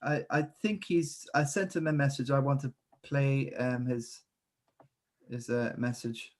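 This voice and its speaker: A man's voice: mid-range voice, fairly even intonation, unhurried. A man hosting a casual fantasy football chat, rambling with many fillers and restarts. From Ireland. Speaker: podcast host.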